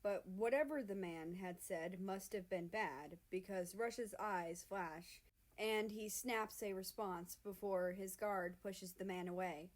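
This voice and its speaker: A woman's voice: mid-range voice, measured pace. A woman reading fiction aloud as a scripted narration. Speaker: fan fiction reader.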